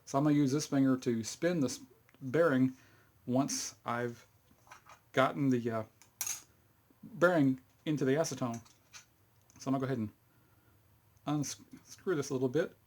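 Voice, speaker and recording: A man's voice: medium pitch, normal speed, long pauses, darkish tone. A middle-aged man with a Texas accent casually walks through a fidget spinner cleaning demo in a rambling, folksy way. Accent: Texan. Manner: rambling, folksy, chuckles often, many fillers. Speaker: YouTube hobbyist demonstrating fidget spinner cleaning. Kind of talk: casual how-to demonstration.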